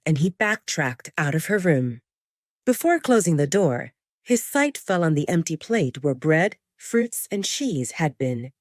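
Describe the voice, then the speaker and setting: A woman's voice: deep voice, dry recording, studio quality. A woman narrating a scripted romantic fantasy audio drama as storyteller.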